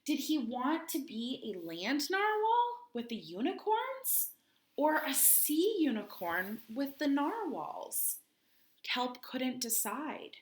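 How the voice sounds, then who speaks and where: Lady reading a kids book out loud, slow and super expressive, asks the little ones questions. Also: sits high. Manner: expressive, dramatic pauses and hushed moments for young listeners. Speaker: children's read-aloud host. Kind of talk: animated picture-book read-aloud with chatty asides.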